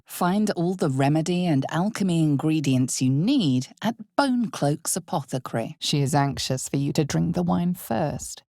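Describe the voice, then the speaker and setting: Gravelly, ominous fantasy game narrator. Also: female voice, low voice, warm tone, dry recording, clean studio sound, heavily acted. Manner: measured, deliberate, weighty pauses, sometimes dropping to near whisper. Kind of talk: dramatic scripted fantasy game narration in second person.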